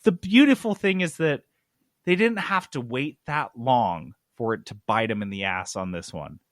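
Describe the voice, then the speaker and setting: A man's voice: mid-range voice, normal speed, dry recording. Dry-sounding man, emphatic podcast talker. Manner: animated, emphatic, sweary, sarcastic. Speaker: gaming podcast host. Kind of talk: casual comic explaining of game industry news.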